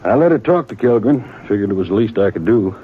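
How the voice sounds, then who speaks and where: An actor playing a plain-spoken, firm American small-town marshal in a 1950s radio western, husky and folksy. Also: male voice, fairly low pitch, animated, fast talker, a little hiss or hum, close to an everyday voice. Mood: regretful. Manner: plain, folksy, clipped frontier speech. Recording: tinny, narrow-band old radio recording.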